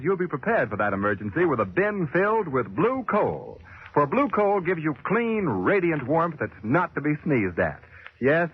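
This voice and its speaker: An American man announcing in polished, emphatic 1940s radio style, like a sponsor's pitchman. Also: husky. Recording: muffled old 1940s radio broadcast.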